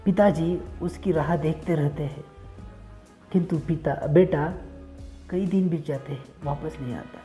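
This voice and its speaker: Male storyteller, dark mellow tone, simple repetitive phrasing, moral tale, quiet music behind. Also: on the high side, measured pace. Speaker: storyteller and channel host. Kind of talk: moral storytelling with direct address to listeners.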